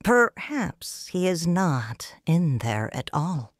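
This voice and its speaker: A woman narrating a first-person audio drama as a grieving, proud noble widow, in a measured, solemn, formal manner. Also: fairly low pitch, sing-song, dry recording, studio quality.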